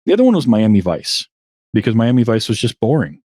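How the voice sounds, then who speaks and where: A man's voice: medium pitch, big pitch swings, brisk, mellow, breathy edge, no echo, studio quality. A man as a podcast guest in casual conversation, rambling a little and restarting his sentences as he thinks. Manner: rambling, restarts often, chuckles at himself. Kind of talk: casual interview chat.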